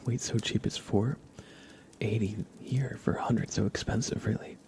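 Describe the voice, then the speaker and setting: A man hosts an ASMR livestream, chatting casually and gently in a hushed whisper, close to the microphone. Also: fairly low pitch, fairly even intonation, normal speed, a little hiss or hum. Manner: casual, friendly, thanking viewers. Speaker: livestream host. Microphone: close microphone with compression and limiter.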